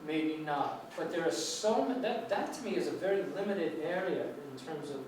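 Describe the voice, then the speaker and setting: A man's voice: fairly high pitch, animated, moderate pace. A man with a New York accent giving an English lecture to students, reassuring and rambling with many restarts. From United States. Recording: echoey hall, distant mic, noisy.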